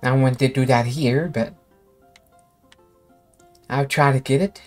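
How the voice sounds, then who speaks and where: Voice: medium pitch, fairly even intonation, takes it slowly, soft background music. A gamer giving casual, offhand commentary while playing a video game.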